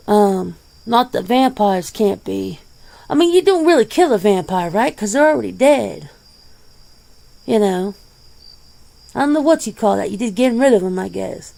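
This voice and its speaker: A plain-spoken, world-weary werewolf played by a woman in an ASMR roleplay interview. The voice is soft-edged and dark with heavy fry, and it moves slowly. The delivery is casual, blunt and defensive. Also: mid-range voice. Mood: explaining. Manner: blunt, casual, swearing, conversational.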